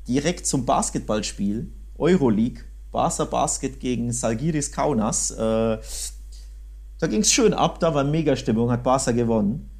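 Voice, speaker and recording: A man's voice: mid-range voice, lively intonation, moderate pace, a little mellow. A man chatting casually and colloquially as co-host of a football podcast. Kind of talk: casual chat, recounting match visits.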